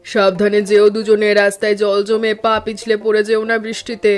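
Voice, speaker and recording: A man voicing a calm, wise elderly mother-in-law in a cartoon, speaking in an even, advising tone. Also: high voice, brisk, smooth, faint music behind, lightly acted. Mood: caring. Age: older. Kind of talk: cartoon voice acting.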